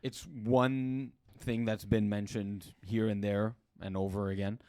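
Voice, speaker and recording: A man co-hosting a sports podcast, talking haltingly with many fillers and quick agreements. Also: on the low side, level tone, darkish tone, clean tone, dry recording. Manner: hesitant, lots of fillers and agreeing interjections. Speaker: podcast co-host. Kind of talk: casual sports chat.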